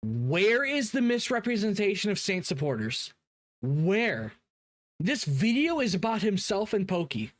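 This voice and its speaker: Animated, blunt man reacting. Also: sits high, unhurried, no echo. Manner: blunt, mocking, profane. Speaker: reaction video host. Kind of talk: casual reaction commentary.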